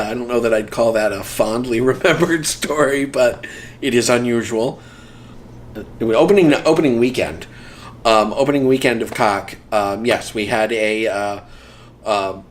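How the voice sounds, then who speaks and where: A man in a casual interview, reminiscing about community theater, rambling with frequent ums and dry humor. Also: mid-range voice.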